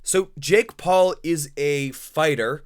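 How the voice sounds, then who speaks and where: A man's voice: fairly high pitch, slow, clean tone. A man hosting a casual podcast with friends, rambling and sarcastic, steering the talk back on topic. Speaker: podcast host.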